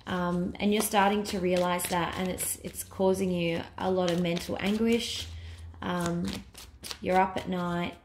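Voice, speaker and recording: Soft-spoken woman with a fading voice. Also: fairly low pitch, slight background noise. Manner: thinking aloud with many ums, soft whispered asides. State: losing her voice from a cold. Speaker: tarot reader. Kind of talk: casual tarot reading to camera.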